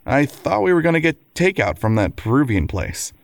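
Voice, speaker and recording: An actor playing a devoted, argumentative lawyer husband in an audio drama, with wry courtroom-style banter. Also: male voice, medium pitch, sing-song, normal speed, vocal fry, no room sound. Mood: playful.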